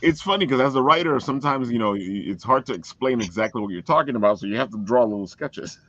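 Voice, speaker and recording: A man hosting a casual chat in a jokey, expressive way, with a husky voice. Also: medium pitch, quick pace, dry recording, dull audio. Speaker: talk show host, writer.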